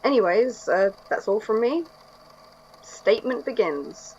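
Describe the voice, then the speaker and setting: A woman's voice: a little high, animated, unhurried, darkish tone. A woman playing a casual, slightly wary archivist, hesitant and conversational, introducing a tape in an audio drama. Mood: apologetic, matter-of-fact. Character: casual, mildly wary female archivist recording onto tape. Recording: muffled, faintly noisy tape sound.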